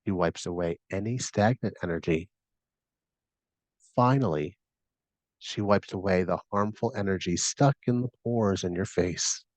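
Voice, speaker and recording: Low-voiced man guiding a meditation. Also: a little mellow, no room sound, low-quality recording. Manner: soothing, measured, instructing. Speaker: meditation guide. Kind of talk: scripted guided meditation narration.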